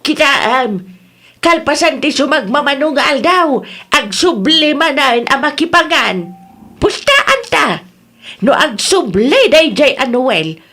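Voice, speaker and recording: A woman's voice: high voice, big pitch swings, a little slow, pushed and bright, exaggerated voice. A woman with an Ilocano accent playing a nagging, no-nonsense village housewife in a comedy radio drama. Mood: complaining.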